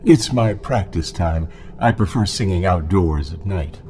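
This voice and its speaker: An actor playing a creepy, menacing male town elder who speaks in a slow, insinuating way. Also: deep voice, expressive, darkish tone, slight background noise.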